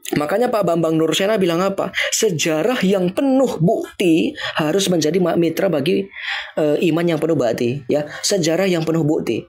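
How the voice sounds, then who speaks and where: A young Indonesian man talks informally to his livestream viewers, repeating his points and mocking the other side. His voice sits high and carries a gravelly rasp. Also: lively intonation, moderate pace, a little mellow. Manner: emphatic, repetitive, mocking.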